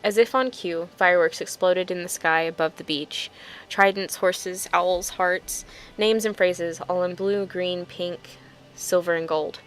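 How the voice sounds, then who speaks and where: A woman's voice: on the low side, steady tone, a little slow. A woman with an informal, self-deprecating manner, telling stories and chatting casually as an online video creator. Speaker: YouTuber reading own fanfiction. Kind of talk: casual storytelling and video chat.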